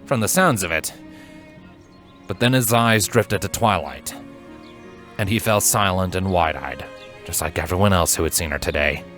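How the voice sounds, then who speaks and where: Low-voiced male storyteller over music. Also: normal speed, long pauses, a bit bright. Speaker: fan fiction narrator. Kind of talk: dramatic scripted story narration. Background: background music.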